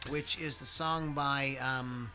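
A man talks in a loose, rambling podcast style, stopping and restarting with plenty of fillers and casual swearing. His voice is bright and forceful, and soft music plays faintly behind him. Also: mid-range voice, steady tone, slow. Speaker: podcast host. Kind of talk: casual chat, reading lyrics aloud.

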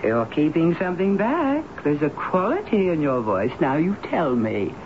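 An actress playing a grand, dreamy, tipsy former stage star, dramatic and hesitant, in a 1970s radio drama. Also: female voice, low-pitched, big pitch swings, moderate pace. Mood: tipsy, prying. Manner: dramatic, hesitant with many ums. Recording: muffled, noisy old radio broadcast.

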